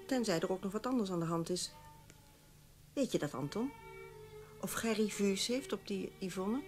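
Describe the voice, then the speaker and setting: An actress playing a shrewd, frank former newspaper secretary who gives knowing advice in a radio drama. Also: female voice, mid-range voice, normal speed, a little mellow, faint music behind, natural voice. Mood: shrewd, advising. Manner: knowing, advisory. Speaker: actor.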